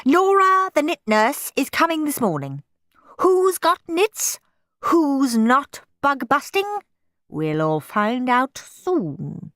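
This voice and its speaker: A woman's voice: sits high, big pitch swings, slow, fairly bright tone, no room sound, clean studio sound, pitched above the performer's own voice, heavily acted. A woman voicing a stern, fierce schoolteacher who barks orders in a storybook reading. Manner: barking orders, growling.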